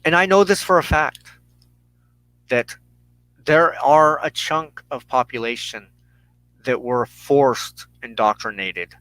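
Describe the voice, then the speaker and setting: Man, American accent, earnest monologue, bright tense tone, halting emphatic phrasing, very dry recording. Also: mid-range voice, no echo, low-quality recording. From United States. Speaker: host of a weekly religious broadcast. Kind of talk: earnest personal monologue to camera.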